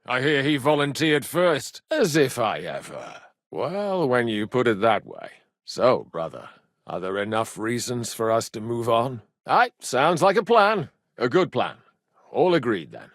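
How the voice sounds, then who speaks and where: An actor playing a cheeky, good-humored medieval rogue and loyal younger brother in a video game, with colloquial, irreverent banter. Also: male voice, mid-range voice, big pitch swings, unhurried, fairly bright tone, dry recording, clean studio sound, full character voice. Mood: easygoing banter. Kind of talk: video game dialogue acting.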